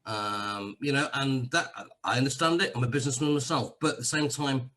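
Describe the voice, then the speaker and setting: A man's voice: medium pitch, fairly quick, fairly bright tone. An Englishman living in Cyprus, chatting casually as a guest, rambling and self-deprecating with frequent restarts. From England. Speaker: guest sharing a testimonial. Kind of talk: casual video-call chat.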